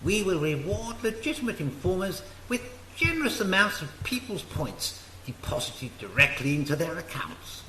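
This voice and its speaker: A man's voice: sits high, very animated, normal speed, hardly any pauses, bright, forceful tone, exaggerated voice. A man playing a zealous, deferential minister of a dystopian government in a radio play, speaking formally. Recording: echoey, faint noise.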